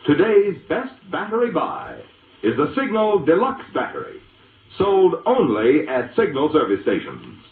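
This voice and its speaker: A man's voice: fairly high pitch, wildly swooping pitch, unhurried. An American man announcing on radio in a 1940s advertising style, with an emphatic, polished salesman's address. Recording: vintage radio broadcast, narrow-band, boxy echo.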